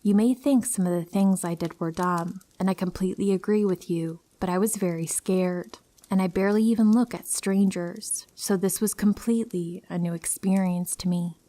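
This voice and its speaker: A woman narrating true horror stories in a calm, steady first-person reading. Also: fairly low pitch, moderate pace.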